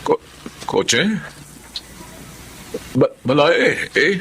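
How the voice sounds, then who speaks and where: An actor playing an anxious, middle-aged ailing father in a Filipino radio drama, halting and stammering as he speaks. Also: fairly high pitch, lively intonation, fairly bright tone, a little hiss or hum, full character voice. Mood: confused. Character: anxious, flustered ailing father hiding his illness. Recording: music behind the voice.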